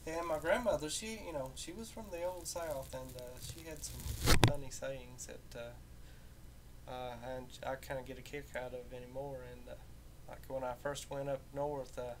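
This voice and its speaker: A man with a softened Southern Appalachian accent, talking casually and off the cuff in a halting, folksy way. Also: fairly even intonation. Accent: Southern Appalachian American, somewhat softened. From rural Southern United States, southern Appalachia. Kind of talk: casual unscripted personal talk. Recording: rumbly home recording with background noise.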